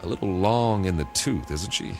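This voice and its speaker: An actor playing a steady, puzzled space crew leader in an audio drama, measured and questioning. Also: male voice, deep voice, faint music behind. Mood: pondering.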